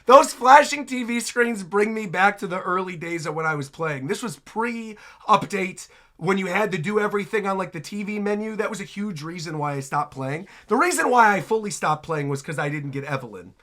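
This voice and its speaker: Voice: medium pitch, animated, moderate pace, a bit bright. A reaction video host giving casual, off-the-cuff commentary on game trailers. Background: music from the trailers.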